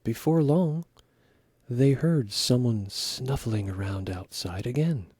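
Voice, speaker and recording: A man reading a children's story aloud with expressive narration. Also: medium pitch, takes it slowly, breathy edge, no room sound, clean studio sound.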